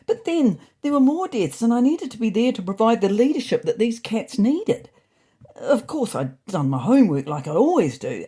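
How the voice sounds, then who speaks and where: Woman narrator, husky and mellow, expressive, indignant, scripted comic first-person storytelling. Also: on the high side.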